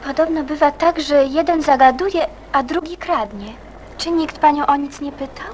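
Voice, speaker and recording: A woman's voice: high voice, moderate pace, darkish tone, slight background noise, natural voice. An actress playing a kind, earnest girl eager to help, in a Polish radio play. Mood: inquiring. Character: kind, earnest, helpful girl named Kasia.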